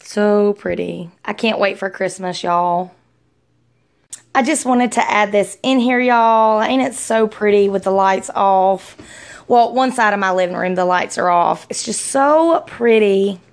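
A woman's voice: medium pitch, a little slow. A young adult woman with a Southern US accent, chatting casually as she vlogs on a phone at arm's length. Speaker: vlogger. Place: small home rooms and inside a car.

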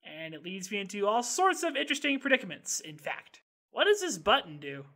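A woman's voice: a little high, noisy background. A woman voicing a cheerfully clueless, impulsive, hyperactive young boy in a cartoon comedy, rambling and blurting as the character goes. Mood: excited, rambling. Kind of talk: animated comedy narration and dialogue.

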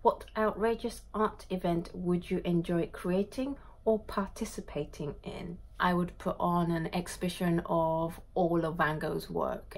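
A woman in her thirties, born in Japan, answering viewer questions casually to camera in her home art studio, reading each question aloud before a candid reply. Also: lowish voice. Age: 30s. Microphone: camera in front at desk distance.

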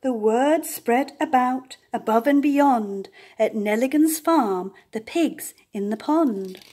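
An older woman reading a children's picture book aloud, with expressive emphasis and playful animal sounds. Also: on the high side, takes it slowly, husky. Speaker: storyteller. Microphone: camera above the book on a table.

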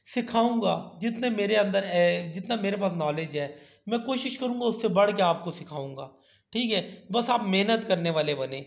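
High gravelly man. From Pakistan. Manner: repeats "theek hai" often, turns persuasive and emphatic toward the end. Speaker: channel host. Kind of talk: screen-recorded tutorial talk. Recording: echoey, dull lo-fi voice-over.

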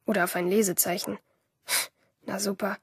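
An actress playing a lonely, sardonic teenage schoolgirl who narrates her day in a radio drama, in a breathy voice. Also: mid-range voice, little pitch movement, normal speed, dry recording, clean studio sound. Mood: sullen, sarcastic.

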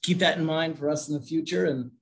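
A man introducing his home care company at a networking meeting, brisk and promotional. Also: on the high side, a little hiss or hum.

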